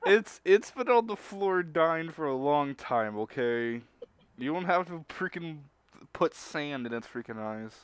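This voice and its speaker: A man co-hosting a casual group chat, talking in a rambling, halting way. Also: on the high side, animated, a little hiss or hum. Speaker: podcast co-host.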